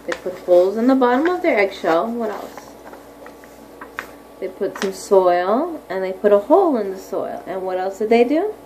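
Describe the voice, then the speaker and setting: A woman's voice: medium pitch, very animated, normal speed, a little mellow, rough recording. A woman primary school teacher reading aloud to young children, explaining simply and often asking questions. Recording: clearly audible background noise.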